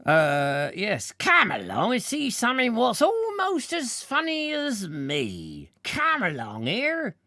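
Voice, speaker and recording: Man playing a hoarse barking fairground clown. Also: extremely high pitch, huge pitch swings, takes it slowly, hardly any pauses, no echo, clean studio sound, voice pushed up, heavily acted. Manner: barking, shouting drill-sergeant calls. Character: hoarse bellowing male fairground clown, ex-sergeant.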